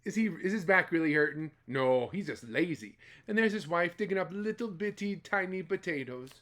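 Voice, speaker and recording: A man's voice: high-pitched, animated, moderate pace, a bit bright. A man as storyteller, narrating a children's folktale expressively with dramatic exclamations and playful asides. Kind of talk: expressive children's storytelling, part read and part ad-libbed.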